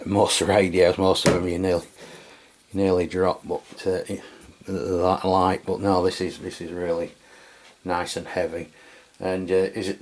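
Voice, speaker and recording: A man's voice: low voice, a little hiss or hum. A man from England giving a casual, hesitant product review with many fillers. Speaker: radio reviewer. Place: home radio room.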